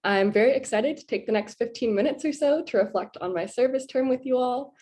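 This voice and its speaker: A woman's voice: on the high side, warm tone. Earnest young woman, sniffly with a cold. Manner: earnest and measured, with occasional ums. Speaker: graduating fellow presenting her service term. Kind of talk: prepared presentation talk.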